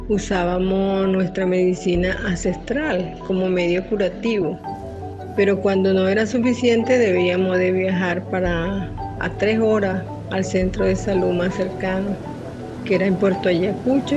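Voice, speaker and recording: An older woman, a retired nurse from the Venezuelan Amazon, calmly narrating her own life story in the first person. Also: mid-range voice. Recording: dull lo-fi voice-over with music. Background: music.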